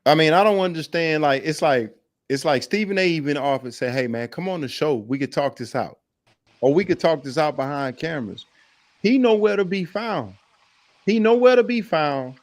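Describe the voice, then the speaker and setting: High-pitched, animated American man debating sports. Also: normal speed, no echo, studio quality. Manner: emphatic, repeats phrases for effect. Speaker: host of a sports debate show.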